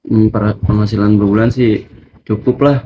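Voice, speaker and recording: A man's voice: on the low side, fairly even intonation, normal speed. A twenty-year-old man giving plain, short answers as a student interviewee. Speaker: student interviewee in a group discussion. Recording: muffled, noisy lo-fi recording.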